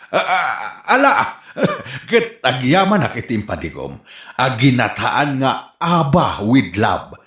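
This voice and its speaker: A man's voice: mid-range voice, sing-song, sharp tone, reverberant, heavily acted. Man acting a swooping, vain older uncle. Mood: flirty, amused. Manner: drawn-out, exaggerated delivery. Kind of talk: comedic radio drama acting.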